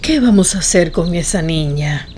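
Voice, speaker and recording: An actress playing a fond, composed mother in a Spanish-language radio drama, speaking in a measured, deliberate way. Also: lowish voice, lively intonation, a little mellow, close to an everyday voice. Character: fond mother discussing her young daughters, composed. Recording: clearly noisy background with birdsong.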